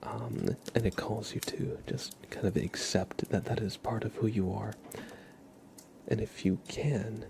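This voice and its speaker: A man hosting an ASMR livestream, whispering softly and slowly to his viewers in chat, close to the microphone. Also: lowish voice, fairly even intonation, gravelly, noisy background. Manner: soft, slow, unhurried, chatting to viewers. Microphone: close microphone, leaned into.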